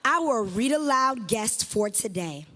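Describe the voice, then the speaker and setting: A woman's voice: a little high, expressive, slow pace, bright, forceful tone. A woman announcing and introducing a guest reader in a chanting, ceremonial introduction style.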